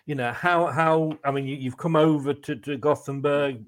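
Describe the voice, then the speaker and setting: Chatty English man, slightly higher voice. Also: fairly even intonation, moderate pace. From England. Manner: chatty, rambling questions with light laughs. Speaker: interviewer, host. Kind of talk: conversational interview.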